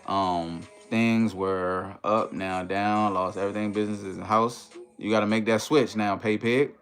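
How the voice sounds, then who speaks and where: A deep-voiced American man hosts a casual livestream over music. He talks to his viewers in a slangy, conversational way, dropping in tags like "you know" as he goes. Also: steady tone, measured pace, fairly bright tone. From United States, Maryland and Washington DC area. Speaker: livestream host chatting with viewers.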